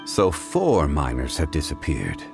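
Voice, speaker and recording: Game lead: refined, analytical gentleman, deep voice, formal and deliberate, faint music behind. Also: wildly swooping pitch, vocal fry, heavily acted. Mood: composed. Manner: formal, deliberate, reasoning aloud. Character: calm, scholarly gentleman consultant, composed and analytical. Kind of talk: video game character dialogue.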